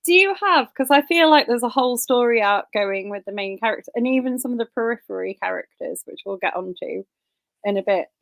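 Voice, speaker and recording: A woman's voice: on the high side, expressive, fairly quick, vocal fry. A woman hosting a book club author chat over a video call, chatty and enthusiastic. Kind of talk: casual interview hosting.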